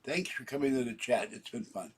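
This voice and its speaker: A middle-aged man from Pittsburgh talks to his vlog viewers in a meandering, chatty way. His voice is husky and sits at an average pitch. He clears his throat often and signs off warmly. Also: fairly quick. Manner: meandering, chatty, warm sign-offs to viewers. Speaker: vlogger. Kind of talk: casual rambling vlog chat.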